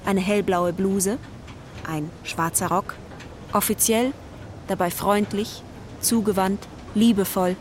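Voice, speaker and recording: A woman's voice: medium pitch, steady tone, takes it slowly, darkish tone, natural voice. A woman narrating a radio drama in a scripted, literary style. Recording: clear urban outdoor ambience noise behind.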